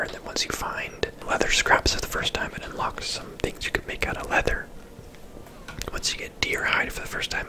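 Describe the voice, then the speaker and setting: A man giving casual, unhurried ASMR game commentary in a conversational whisper as he plays. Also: poor audio. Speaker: ASMR gaming host.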